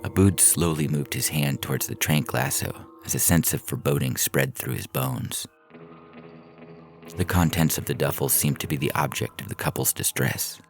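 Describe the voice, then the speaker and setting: A man's voice: rumbling low, moderate pace, vocal fry. A man reading a science fiction story as narrator in a scripted audio fiction style.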